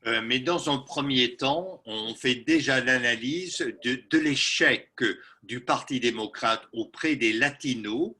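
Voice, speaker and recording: A man's voice: mid-range voice, sharp tone, slight background noise. A man from Texas, a political analyst answering in a radio interview, emphatic and insistent as he drives home his points. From Texas, USA. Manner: emphatic, insistent, with slow stressed phrases.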